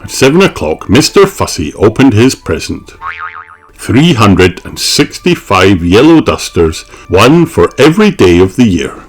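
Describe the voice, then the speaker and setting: A man's voice: lowish voice, very animated, unhurried, slightly rough, faint music behind. A man reading a children's storybook aloud expressively, with theatrical emphasis on key words. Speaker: storybook reader.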